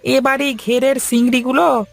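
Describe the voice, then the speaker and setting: A man voicing a folksy, inquisitive, plain-spoken village fellow in a cartoon, with a creaky voice and rustic phrasing. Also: very high-pitched, level tone, normal speed. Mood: cautious. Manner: colloquial, rustic turns of phrase. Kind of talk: Bengali cartoon moral-story voice acting. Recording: music behind the voice.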